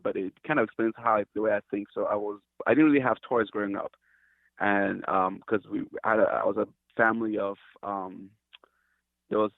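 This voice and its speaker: A man's voice: lowish voice, vocal fry, no room sound. A man from Cameroon, a company founder, talking earnestly and hesitantly in an interview, often restarting his sentences. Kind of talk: casual interview talk. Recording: tinny dry phone line.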